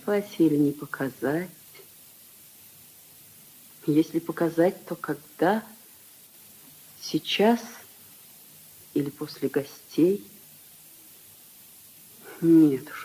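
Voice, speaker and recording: Husky-voiced troubled princess, radio drama. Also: female voice, deep voice, drawn-out words, pauses often, mellow, exaggerated voice, poor audio. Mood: anxious, hesitant. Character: troubled young married princess, anxious and restrained. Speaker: actress. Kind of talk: 1950s radio drama acting. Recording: 1950s radio recording, faint crowd behind.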